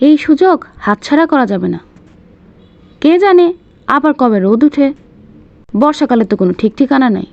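Woman acting a high-pitched, harried Bangladeshi mother. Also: sing-song, a little fast, vocal fry, long pauses, muffled recording, heavily acted. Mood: fretful grumbling. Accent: Bangladeshi Bengali. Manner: complaining, exasperated. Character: harried, grumbling Bengali mother doing household chores. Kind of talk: colloquial cartoon voice acting.

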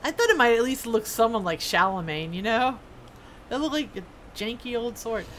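A woman's voice: a little high, animated, moderate pace, a bit bright, a little hiss or hum. A woman giving casual live commentary as she plays a game on stream. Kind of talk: live gameplay commentary, reading quest text aloud.

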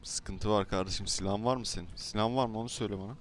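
Low-voiced man role-playing in a game. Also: fairly even intonation, racing. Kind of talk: improvised game role-play trolling. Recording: noisy game voice chat.

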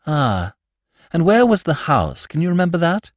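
Man playing a suave, animated gentleman thief. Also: fairly high pitch, moderate pace, no room sound, clean studio sound, voice pushed up. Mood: teasing. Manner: articulate, teasing, assured.

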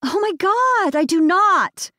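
A woman's voice: fairly high pitch, lively intonation, unhurried, no room sound, studio quality. A woman narrator voicing a defensive young woman arguing with her sister.

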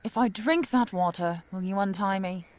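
An actress playing a feisty, skeptical, indignant woman in an audio drama, sharp-tongued and sarcastic. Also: medium pitch, moderate pace, lightly acted.

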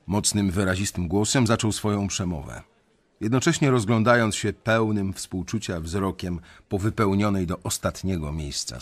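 An actor playing a reflective young banker, narrating his story in measured, literary first person for a radio drama, with a husky voice. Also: on the low side.

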